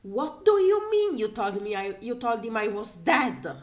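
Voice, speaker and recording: A woman's voice: fairly high pitch, big pitch swings, a little slow, pitched above the performer's own voice. Woman reading as exasperated, biting male space mentor. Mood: aghast. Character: indignant, sarcastic male undercover mentor in space adventure.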